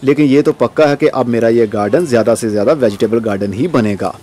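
A man's voice: medium pitch, fast talker, warm tone. A man hosting a gardening vlog, giving a casual walkthrough outdoors on a rooftop terrace, recorded on a handheld camera. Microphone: handheld camera microphone. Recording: outdoor ambient noise, light urban background.

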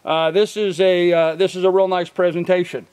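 A man's voice: sits high, flat delivery, moderate pace, darkish tone, smooth, no echo. An older man casually showing his fishing gear outdoors, rambling with lots of ums and uhs and correcting himself, picked up by a camera a few feet away. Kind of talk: casual show-and-tell. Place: outdoors by a truck in a field. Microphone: camera mic from a few feet.

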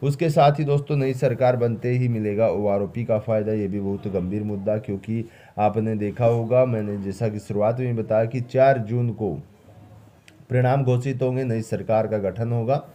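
A man's voice: lowish voice, fairly even intonation, moderate pace, dark tone. A man hosting an explanatory news update, speaking emphatically and repeating key phrases. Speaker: host of pension update channel. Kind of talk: explanatory news commentary over slides.

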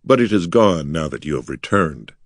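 A man's voice: rumbling low, lively intonation, moderate pace, no room sound, studio quality, voice pushed down. A man voicing a dazed, wary young adventurer in an audiobook. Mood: tense. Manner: tense, whispering at times. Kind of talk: audiobook character voice.

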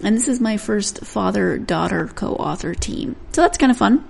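A woman hosts a book podcast in a chatty, self-correcting way. Her voice has a gravelly edge. It sits at an ordinary middle pitch. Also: expressive, moderate pace. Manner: chatty, self-correcting, laughs at own slips. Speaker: podcast host. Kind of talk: solo intro to a book interview.

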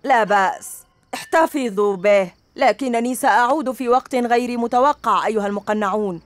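Cartoon dub: a woman as a bossy, scheming boy ninja baddie, pitched high, score playing. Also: fairly quick, natural voice. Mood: grudging. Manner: taunting, bossy. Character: boastful boy ninja villain, cocky and scheming. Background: cartoon music.

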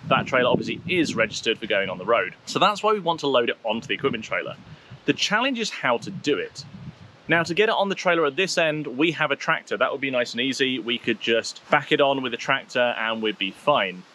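A man in his thirties, a vlogger, talking outdoors in a wooded clearing and explaining things step by step. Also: medium pitch, expressive, a little fast, pushed and bright, a little hiss or hum, low-quality recording. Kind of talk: casual explainer vlog.

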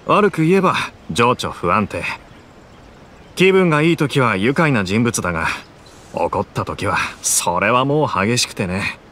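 A man's voice: mid-range voice, sing-song, measured pace, a bit bright, slight background noise. An actor playing a friendly, observant young townsman in a video game, speaking in an easygoing, thoughtful way.

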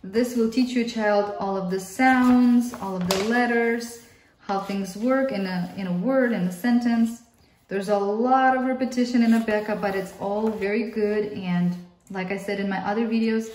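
A woman's voice: mid-range voice, a little mellow. A woman in her thirties, a Russian native speaker with a Russian accent, explaining homeschool workbooks in a friendly way as a mother and often repeating words for emphasis. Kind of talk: casual workbook flip-through review. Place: indoor room at a table. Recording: roomy, distant mic, faint hiss.

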